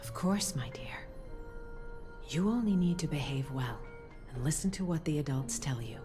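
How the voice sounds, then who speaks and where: Low, soft-spoken gentle mother priestess. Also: expressive. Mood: reassuring. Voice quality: soft, breathy. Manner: soothing, measured, formal. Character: gentle, tender mother, solemn temple priestess. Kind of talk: voiced game cutscene dialogue. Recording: game audio under clear music.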